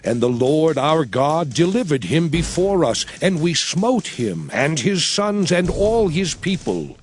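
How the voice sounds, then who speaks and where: A man's voice: a little high, big pitch swings, a little slow, slight background noise. An actor playing a commanding prophet who addresses his people in solemn, declamatory dramatized scripture. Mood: solemn, stern. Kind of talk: dramatized scripture oration, King James English.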